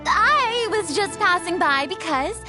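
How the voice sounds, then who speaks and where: Casting: theatrical, flustered young game heroine, very high voice, animated and unhurried. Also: female voice, over music, heavily acted. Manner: hesitant, theatrical, affected. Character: flustered, theatrical young lady with proud airs. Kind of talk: anime-style video game voice acting.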